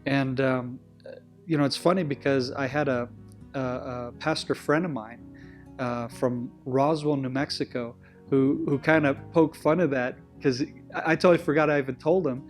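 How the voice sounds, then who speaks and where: A man's voice: mid-range voice, steady tone, normal speed, soft background music. Israeli-accented man telling stories. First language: Hebrew. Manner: rambling, self-correcting, with frequent restarts. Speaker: guest. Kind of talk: conversational interview storytelling.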